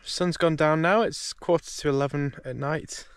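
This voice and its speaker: A man's voice: fairly high pitch, moderate pace. Man vlogging on a windy mountain. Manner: relaxed, appreciative, talks to the camera while walking. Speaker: hiking vlogger. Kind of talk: casual vlog narration. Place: outdoors on a mountain by a stream and tent. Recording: loud outdoor wind noise. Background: wind and outdoor ambience.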